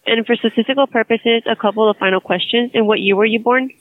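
A woman's voice: a little high, fast talker, no room sound. A woman survey caller reading polite scripted questions over the phone. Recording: tinny dry phone line.

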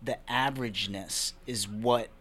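Young guy from brazil chatting on a podcast, chill, lots of "you know what i mean", average pitch. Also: normal speed. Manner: relaxed, conversational, many fillers like "man" and "you know". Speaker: podcast guest, real estate investor. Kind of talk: casual interview talk.

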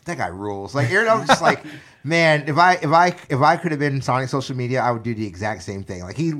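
A man co-hosting a podcast. His voice has a middle pitch for a man, and he talks eagerly, packing his sentences with "like" and "you know" as he warms to his point. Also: big pitch swings, fast talker. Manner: enthusiastic, filler-heavy, says "like" a lot. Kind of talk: casual podcast chat.

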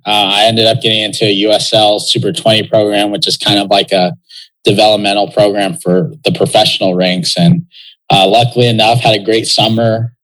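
A man's voice: lowish voice, flat delivery, brisk, fairly bright tone, rough recording. A young man from Texas, a pro soccer player talking as an interview guest in a loose, reflective way, with lots of fillers. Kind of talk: casual interview talk.

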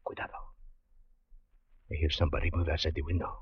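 Radio drama part: guarded Mexican, deliberate, accented, very low register, dull 1940s sound. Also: male voice, flat delivery, husky, exaggerated voice. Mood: hushed, cautious. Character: cautious, watchful Mexican man guarding a treasure secret.

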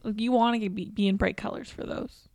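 A young woman co-hosting a podcast, her voice slightly hoarse and gravelly, chatting in a bubbly, self-deprecating way. The sound is close and dry. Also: mid-range voice, fairly even intonation, fairly quick, darkish tone, no room sound. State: slightly raspy, partly lost voice. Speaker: podcast co-host. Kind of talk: casual chat.

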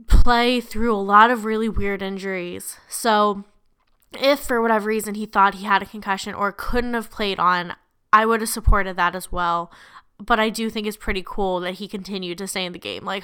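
A woman's voice: mid-range voice, pushed and bright, smooth. A young woman hosting a casual sports podcast, chatty with many fillers, still getting over bronchitis. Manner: chatty, lots of fillers, laughs easily. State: recovering from bronchitis, lingering cough. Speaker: podcast host.

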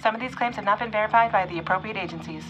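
A woman's voice: medium pitch, racing, a bit bright, highs cut off, soft background music. A woman playing a perky old-time radio ad spokeswoman, delivering sales patter through a radio filter. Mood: perky, salesy. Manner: crisp alliterative sales patter. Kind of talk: vintage radio commercial acting.

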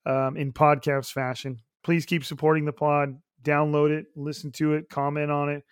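Hoarse rambling man hosting a podcast. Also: fairly high pitch, little pitch movement, moderate pace, no echo, clean studio sound. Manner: rambling, upbeat, lots of fillers. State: hoarse, raspy voice. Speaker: podcast host. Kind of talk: solo casual podcast chat.

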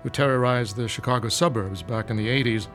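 A man's voice: medium pitch, brisk, a bit bright, soft background music, lightly acted. An actor playing an articulate man who explains grim news in a scripted audio drama. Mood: matter-of-fact.

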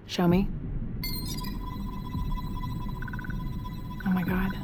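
Actress as blunt female scientist, very low pitch, terse, demanding, faint room noise, audio drama. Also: completely flat delivery, fairly quick, close to an everyday voice. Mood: alarmed. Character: driven, blunt female scientist, increasingly alarmed.

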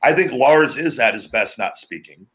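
Man with a deep, booming voice. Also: animated, normal speed, fairly bright tone. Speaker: podcast host, former pro wrestler. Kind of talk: casual podcast chat.

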